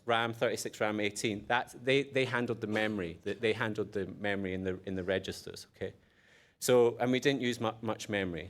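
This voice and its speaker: A man with an Edinburgh Scottish accent giving a technical conference talk. He often restarts phrases and checks in with the audience. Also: medium pitch. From Scotland. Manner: animated, restarts phrases, checks in with "right?" and "okay?". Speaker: conference speaker.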